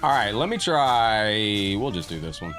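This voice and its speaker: A man's voice: on the low side, very animated, measured pace. A man hosting a live gaming stream, chatting and greeting viewers by name while he plays, his voice a little scratchy from a cold. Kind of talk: casual gameplay commentary, greeting chat. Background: game music.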